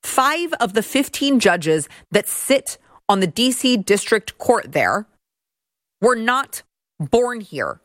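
A woman's voice: on the high side, big pitch swings, takes it slowly, no echo, clean studio sound. A woman hosting a political commentary show, talking in a sarcastic, mocking way. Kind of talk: opinion commentary monologue.

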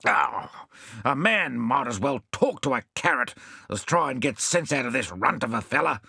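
A man voicing a blunt, hot-tempered male adventurer who yells when he gets impatient. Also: mid-range voice, very animated, normal speed, creaky, husky, no echo, studio quality, heavily acted. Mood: impatient, roaring. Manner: loud, impatient.

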